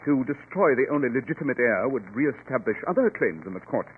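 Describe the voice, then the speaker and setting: A man plays a shrewd English gentleman detective in an old radio drama. His delivery is theatrical, precise and swooping in pitch, with diction, on a thin, phone-like and noisy vintage recording. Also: big pitch swings, brisk, natural voice. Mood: probing, suave. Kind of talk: 1940s radio acting.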